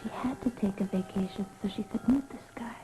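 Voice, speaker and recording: A woman's voice: medium pitch, a little fast, natural voice, poor audio. An actress playing a scheming woman in a 1980s daytime soap, muttering to herself in murmured, half-whispered asides. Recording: TV soundtrack with music under it.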